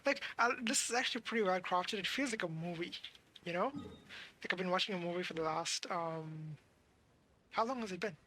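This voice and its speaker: A game streamer giving casual live commentary, thinking aloud in halting fragments over game music. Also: medium pitch, pushed and bright, slight background noise.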